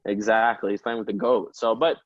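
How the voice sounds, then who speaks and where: Low-voiced young man, relaxed rambling chat. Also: fairly quick, no room sound, dull audio. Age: 20s. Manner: relaxed, rambling, laughs often. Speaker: guest, professional soccer player. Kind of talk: casual interview chat.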